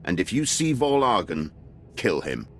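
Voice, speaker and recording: Imperial colonel: very low register, grave, pompous, deferential to superiors, scornful of rebels. Game cutscene. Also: male voice, big pitch swings, measured pace, a little hiss or hum. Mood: grave briefing. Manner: pompous, formal military address. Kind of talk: video game cutscene acting.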